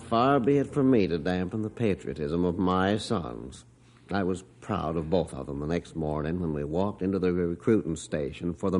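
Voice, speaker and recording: A man's voice: sits low, a little mellow. An actor playing a middle-aged father telling a story reflectively in an old radio drama. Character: middle-aged father, gruff and warm-hearted. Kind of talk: 1950s radio drama acting.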